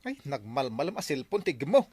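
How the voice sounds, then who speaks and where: Casting: woman voicing grumbling village husband, lively pitch swings, dry sound, Filipino Ilocano accent, mocking. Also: a little fast, slightly rough, no room sound, full character voice. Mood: annoyed. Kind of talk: Ilocano comedy drama acting.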